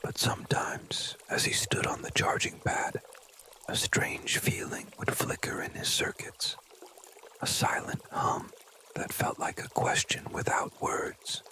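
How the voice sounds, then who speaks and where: A storyteller whispers a children's bedtime tale in ASMR style. The whisper is bright and breathy, with no voiced tone. Phrases come deliberately, in short pieces with frequent pauses.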